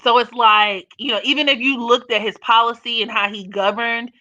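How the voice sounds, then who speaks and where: A young woman with a naturally raspy voice talks loosely to her live stream viewers, blunt and profane, often laughing. Her tone is bright and forceful. The audio is dry with no room sound and slightly dull, like a lo-fi home stream. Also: a little high, steady tone, moderate pace, dry recording. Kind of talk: casual live stream chat reading comments.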